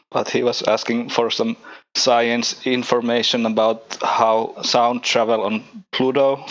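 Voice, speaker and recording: A man's voice: mid-range voice, lo-fi sound. A man hosting a podcast, chatting casually in a laid-back way and laughing between his words. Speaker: podcast host. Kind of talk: casual chat.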